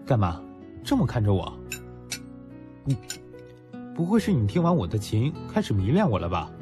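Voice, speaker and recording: A man's voice: medium pitch, huge pitch swings, moderate pace. An actor playing a young male student in an audio drama, narrating reflectively as well as speaking in dialogue. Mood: boastful teasing. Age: young adult. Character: young male student, nostalgic, proud, teasing. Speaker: lead actor and first-person narrator. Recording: music behind the voice.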